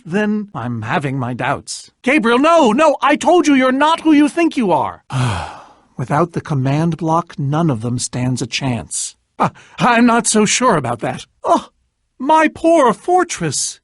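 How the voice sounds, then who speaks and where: Video game lead: a self-important, jittery adventurer, high-pitched and very animated, theatrical and wordy. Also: male voice, no room sound, studio quality, heavily acted. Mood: anxious, alarmed. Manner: wordy, theatrical, stammering when flustered. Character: boastful, nervous, evasive adventurer and self-styled leader. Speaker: voice actor in a story video game.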